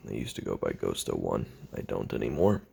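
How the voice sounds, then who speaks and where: A man playing a weary, lonely young retired assassin who makes a halting, brooding confession on tape in an audio roleplay, with a gravelly, husky voice. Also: a bass voice, very animated, darkish tone, exaggerated voice. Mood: guarded, flat. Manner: halting, brooding, trailing off with sighs and pauses.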